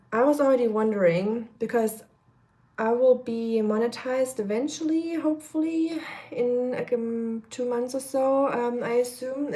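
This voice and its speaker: A young woman with a fairly high, slightly nasal voice chats casually as she cooks on a live stream. She rambles along with plenty of fillers and talks to her viewers as she goes. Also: dark tone. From Germany. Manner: chatty, rambling, many fillers, reads chat comments aloud. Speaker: vlogger cooking on a live stream. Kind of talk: casual live-stream chat while cooking.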